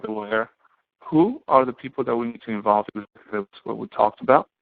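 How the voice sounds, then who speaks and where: A man giving an explanatory lecture to students as an instructor, speaking haltingly and often posing questions that he then answers himself. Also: medium pitch, vocal fry, no echo. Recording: muffled, band-limited, phone-like audio.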